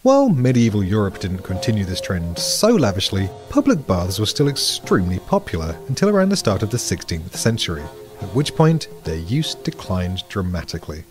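A British man giving a scripted podcast explanation, measured and dryly witty. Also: lowish voice, very animated, music in the background.

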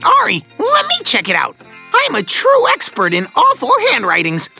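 A man voicing an excitable, opinionated little woodpecker in a children's cartoon, with a squeaky, scratchy voice and theatrical, exclamatory delivery. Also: gravelly, breathy edge, faint music behind, exaggerated voice. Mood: curious, boastful. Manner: exclamatory, theatrical, drawn-out words. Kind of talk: children's animated series voice acting.

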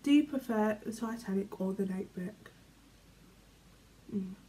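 A young British woman vlogging from her bedroom, reading questions aloud and answering in a chatty, rambling way, often trailing off quietly. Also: medium pitch, a little slow, a little hiss or hum, rough recording. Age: young adult. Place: small bedroom, indoors. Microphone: camera in front, medium framing.

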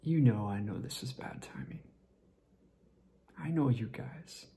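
A man performing a dramatic monologue as a tipsy, lovesick young man confessing to a friend, halting and repetitive, with a creaky voice that often drops toward a whisper. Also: medium pitch, expressive, warm tone, a little hiss or hum. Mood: pleading, hesitant. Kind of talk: dramatic stage monologue rehearsal.

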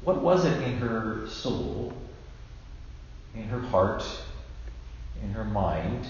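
A man in his fifties, a bishop giving a measured, deliberate sermon with emphatic pauses. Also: mid-range voice, darkish tone. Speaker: bishop preaching. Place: indoors in a church at a lectern. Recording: very echoey church, dull sound, faint noise.

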